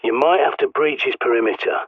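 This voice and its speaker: An actor playing a gruff, bossy middle-aged survivalist in a video game, blunt and sardonic, heard through a radio filter. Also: male voice, medium pitch, fairly quick, dry recording. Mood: grave, explanatory. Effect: radio transmission filter. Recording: tinny, band-limited radio with static.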